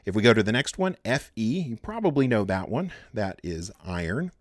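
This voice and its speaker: Low voiced guy walking through a chem lecture, lots of uhs, pitch jumps around, dry room. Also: normal speed, dry recording. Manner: relaxed, many filler words and restarts. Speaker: chemistry instructor. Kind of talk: recorded lecture.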